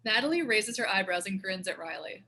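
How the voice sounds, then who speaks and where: A woman's voice: medium pitch, animated, a little fast, sharp tone. A woman reading screen directions as scripted narration at a table read.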